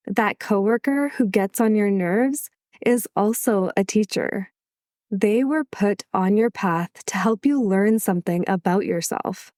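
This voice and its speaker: A woman hosting a solo podcast who is a little sick, reading her script in a calm, measured way. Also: medium pitch, no echo, studio quality. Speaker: podcast host.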